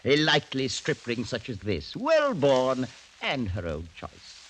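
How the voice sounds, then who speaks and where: A man's voice: medium pitch, huge pitch swings, measured pace, a bit bright, poor audio. An actor playing a sly, menacing old French nobleman in 1940s radio style, mock-genial and theatrical. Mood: gleefully mocking. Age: older. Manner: theatrical, mock-genial, wheedling then snapping. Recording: hissy 1940s radio recording.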